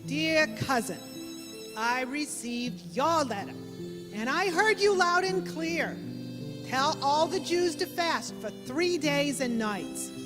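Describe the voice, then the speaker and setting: A woman's voice: sits high, expressive, takes it slowly. A woman playing an earnest, brave young gangster's moll in an old-time radio play. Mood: resolute. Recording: echoey hall with music behind.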